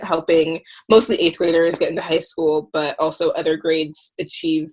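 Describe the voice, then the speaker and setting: A woman's voice: sits low, poor audio. A woman in her twenties from Florida, introducing herself upbeat and casual on a panel. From Gainesville, Florida. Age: 20s.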